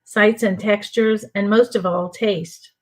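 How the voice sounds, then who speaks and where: An older woman from New Orleans reading aloud from her own book in a measured, deliberate way for an online reading. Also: mid-range voice, steady tone. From New Orleans, USA. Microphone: home computer mic for an online reading.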